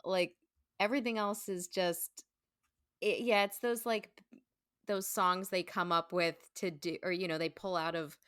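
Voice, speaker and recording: A woman's voice: lowish voice, steady tone, measured pace, a bit bright, clean tone, no room sound, studio quality. A woman guest on a podcast, chatting casually and informally and often saying "like". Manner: informal, peppered with "like". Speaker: podcast guest, stage performer. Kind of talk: casual chat about classic film.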